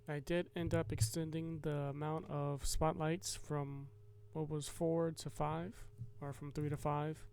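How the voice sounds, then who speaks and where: A man's voice: on the high side, level tone, measured pace, creaky, few pauses, darkish tone, clean tone. A man narrating a casual game devlog as its developer and host, explaining in a hesitant, rambling way.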